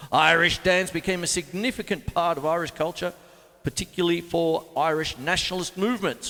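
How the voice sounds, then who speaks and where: A man's voice: a little high, sharp tone, in a large hall. Chatty man teaching drums. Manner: chatty, rambling, jokey asides. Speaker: drum teacher and show host. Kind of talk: casual live-to-camera drum lesson. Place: home studio with drum kit.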